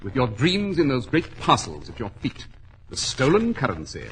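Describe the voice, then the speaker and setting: An actor playing a self-assured gentleman detective in a 1940s radio drama, clipped, precise and authoritative. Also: medium pitch, wildly swooping pitch, moderate pace, a little hiss or hum, rough recording. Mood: cool, taunting.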